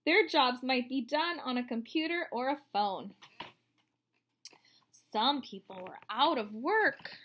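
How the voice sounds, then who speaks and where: A woman in her thirties reading a picture book aloud to children, explaining as she goes in an expressive way. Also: sits high, takes it slowly, husky. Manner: expressive, explanatory, addressing young listeners. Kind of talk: read-aloud storytelling for children with asides. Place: room at home, indoors.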